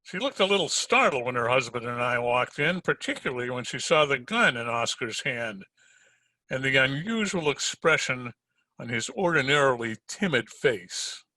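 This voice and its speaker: Man playing a bright, wisecracking private eye. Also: medium pitch, animated, normal speed, dry recording. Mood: cool, accusing. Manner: hard-boiled, sardonic, slangy. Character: tough wisecracking male private detective. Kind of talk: 1940s radio detective drama acting and narration.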